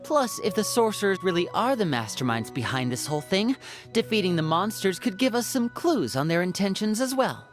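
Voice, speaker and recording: A woman playing a composed, courteous female adventurer and strategist in a video game, measured and polite. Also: medium pitch, big pitch swings, soft background music, close to an everyday voice. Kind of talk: anime-style video game voice acting.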